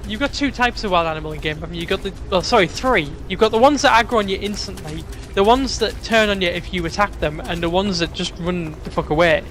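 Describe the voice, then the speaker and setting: A man's voice: high voice, fast, hardly any pauses, fairly bright tone, faint music behind. A British man bantering as a game co-commentator, full of wry, jokey asides. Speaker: let's play co-commentator. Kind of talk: casual banter over gameplay. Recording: clear game noise behind voice. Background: video game sound.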